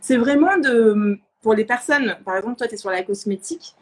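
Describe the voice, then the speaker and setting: A woman's voice: on the high side, lively intonation, moderate pace. A woman hosting a casual live interview, chatting informally and often searching for her words as she phrases questions. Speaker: interview host.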